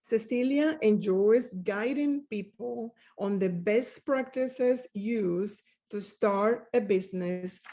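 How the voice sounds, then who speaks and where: A woman presenting awards at a live ceremony, reading out prepared tributes to the winners in a measured way. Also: medium pitch, darkish tone.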